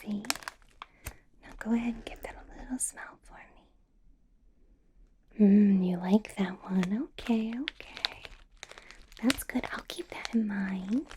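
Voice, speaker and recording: A woman's voice: mid-range voice, slow, long pauses, noisy background, lightly acted. A woman whispering as a possessive, menacing female vampire boss in an ASMR roleplay, sweet on the surface with a threatening edge. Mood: sweetly menacing. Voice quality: soft unvoiced whisper.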